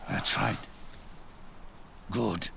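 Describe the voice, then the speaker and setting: An actor playing a calm, fatherly aging soldier in a game cutscene, very gravelly, grave and measured. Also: a little hiss or hum. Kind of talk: dramatic video game cutscene acting. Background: loud dramatic music.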